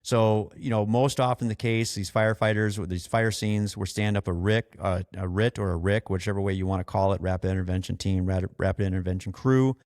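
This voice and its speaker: A man hosting a podcast as an experienced firefighter, explaining things earnestly in a conversational, halting way with repeated and restarted phrases. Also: on the low side, fairly even intonation, a little mellow, dry recording, studio quality.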